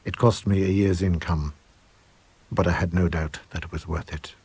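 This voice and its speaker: Low, husky male storyteller. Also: fairly even intonation, normal speed, a little mellow. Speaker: reader of a short story. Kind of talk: dramatic first-person narration of a supernatural tale.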